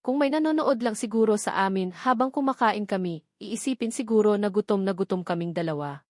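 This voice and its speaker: A woman's voice: mid-range voice, a little mellow, dry recording, clean studio sound. A woman with a Filipino accent reading a scripted first-person story as an audiobook narrator.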